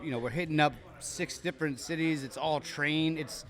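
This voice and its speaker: A man's voice: a little high, a bit bright. A man co-hosting a podcast recorded live at a bar, talking in a casual, rambling way with many restarts. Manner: rambling, halting restarts, upbeat. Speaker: podcast co-host. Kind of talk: casual chat.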